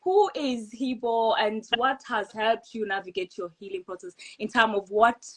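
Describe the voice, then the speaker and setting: A woman co-hosting an online panel, chatty and quick to laugh, with plenty of fillers over a video call. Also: a little high, a bit bright. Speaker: co-host and interviewer. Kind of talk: casual online panel chat.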